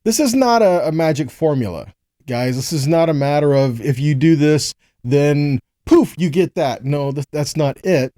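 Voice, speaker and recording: Male American preacher, relaxed and slightly high-pitched, teaching scripture conversationally and checking in with rhetorical questions. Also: normal speed, no room sound, studio quality. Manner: rhetorical questions and frequent check-ins with listeners. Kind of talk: conversational sermon with scripture readings.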